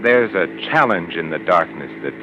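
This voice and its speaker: Taunting, self-assured thrill seeker, 1940s radio suspense. Deep, animated, over car noise. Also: male voice, normal speed. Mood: amused, taunting. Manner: amused, mocking, self-assured. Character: confident, taunting, thrill-seeking outdoorsman at the wheel. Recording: muffled old radio, loud car noise.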